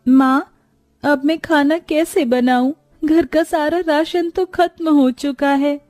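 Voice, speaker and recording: A woman's voice: high-pitched, steady tone, slow pace, a little mellow, dry recording, faint music behind, pitched above the performer's own voice. A woman voicing a distressed young daughter of a poor family in a story, plaintive and anxious.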